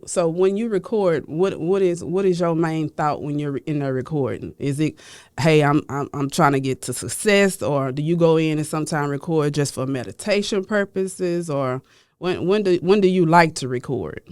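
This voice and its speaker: A male host asks loose, casual questions and keeps agreeing with his guest with a quick yeah or right. His voice is a touch high and smooth. It is recorded close and dry. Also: darkish tone, no room sound. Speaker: podcast host. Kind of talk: casual podcast interview.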